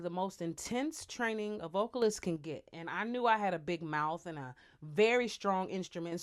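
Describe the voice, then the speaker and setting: A young American woman telling stories casually to her viewers, chatty and animated, full of slang and asides. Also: mid-range voice. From United States. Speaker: vlogger, singer. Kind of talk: casual storytelling to camera.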